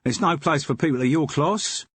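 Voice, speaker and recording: A man's voice: on the high side, normal speed, fairly bright tone, breathy edge, no echo, voice pushed up. A man voicing a shifty, grudging male opium-den keeper with a Cockney twang and a sly, wheedling manner. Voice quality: put-on routine voice, sly and wheedling.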